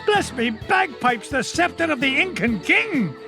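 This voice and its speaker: A man playing an elderly, gruff, treasure-hungry duck uncle with a Scottish accent in a cartoon game. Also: very high-pitched, very animated, a little slow, heavily acted. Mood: excited, awestruck. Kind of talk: animated cartoon game acting. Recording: music behind the voice.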